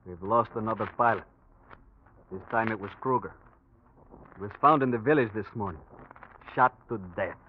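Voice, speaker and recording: A man's voice: on the low side, normal speed, long pauses, lightly acted. Man acting a Spanish-accented pilot, tinny old radio. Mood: grave. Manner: expressive, gentle, theatrical phrasing. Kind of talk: 1940s radio drama acting.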